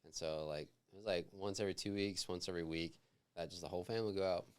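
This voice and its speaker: Low-voiced relaxed male podcast guest. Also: fast, smooth. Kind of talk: casual podcast chat.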